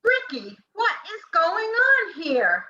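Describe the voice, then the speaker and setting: A woman playing an excitable, jealous diner-waitress girlfriend in a campy 1940s radio noir, picked up from afar on stage. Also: extremely high pitch, slow pace, exaggerated voice. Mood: jealous, suspicious. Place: live community theatre stage performance. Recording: dull, distant, echoey stage pickup.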